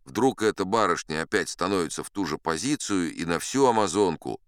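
Male actor as an old braggart sea captain, smooth deep voice, dry close studio sound, chatty tall-tale teller. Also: lively intonation, fairly quick, dry recording. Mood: boastful, confiding. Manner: chatty, confiding asides to the listener. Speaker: audiobook narrator. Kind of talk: first-person storytelling in an audio play.

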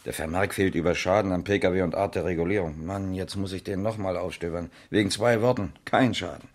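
Low-voiced, dry police investigator. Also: male voice, fairly even intonation, brisk. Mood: irritated, grumbling. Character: male police investigator, dutiful, grumbling, by-the-book. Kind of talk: naturalistic 1970s German radio crime drama acting.

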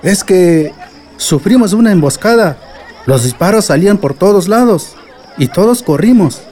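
A man's voice: sits high, animated, moderate pace, warm tone, faint music behind. A man playing a respectful, earnest villager in a radio drama, speaking deferentially with a Mexican accent. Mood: grave, apologetic.

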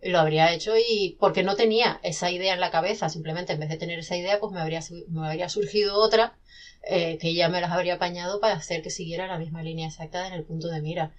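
Chatty novelist woman, lowish voice. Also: quick pace. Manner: animated, talkative, many restarts and laughs. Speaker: self-published novelist guest. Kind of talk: casual podcast conversation.

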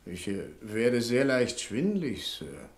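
A man's voice: medium pitch, very animated, slow. An actor playing a reluctant, grumbling bank employee in a German radio play, hesitant and sullen with his superior.

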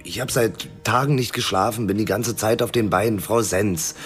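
A hard-boiled male private investigator, played by an actor in a radio drama, worn down and short of money. His voice sits low and he talks in dry, sardonic street language, with music clearly underneath. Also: normal speed, natural voice. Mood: weary, pleading. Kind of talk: German radio drama acting.

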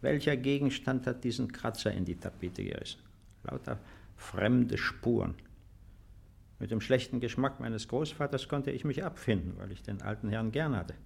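An actor playing an uneasy, nostalgic displaced farmer in a 1950s German radio play, musing and hesitant. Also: male voice, mid-range voice, lively intonation, normal speed, gravelly, a little mellow, breathy edge. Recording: faintly dated 1950s radio recording.

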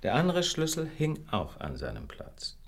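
An actor playing a confident, probing gentleman detective with precise, assured delivery in a German radio drama. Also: a little high, huge pitch swings, moderate pace. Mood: probing, curious.

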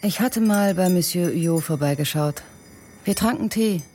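An actress playing a young woman recounting her haunted story in an intimate, hushed manner in a radio drama. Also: fairly low pitch, measured pace, faint music behind, close to an everyday voice. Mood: confiding, reflective. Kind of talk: literary radio drama narration.

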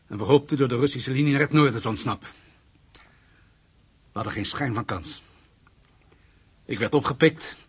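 A man acting in a radio play as an anxious captive ex-Nazi, guarded and deliberate as he pleads and confesses. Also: mid-range voice, fairly bright tone, husky.

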